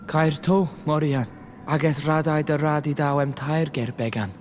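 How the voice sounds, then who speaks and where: A man's voice: on the high side, fairly even intonation, a little slow, a little mellow, lightly acted. An actor playing a young native islander man in a fantasy video game cutscene. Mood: solemn. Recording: reverberant space with faint ambient noise.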